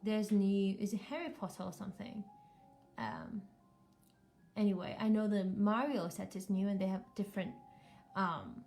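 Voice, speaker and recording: A woman's voice: medium pitch, steady tone, moderate pace, long pauses, a little mellow, faint music behind. A woman chatting casually with children as a live storytime host, encouraging and full of questions.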